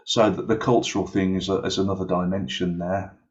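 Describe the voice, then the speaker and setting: A man with a fairly deep voice talks through ideas in an online meeting, reaching for examples as he goes. His delivery is hesitant and explanatory. The audio is dull and slightly muffled. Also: flat delivery, fairly quick. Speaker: aviation English course developer.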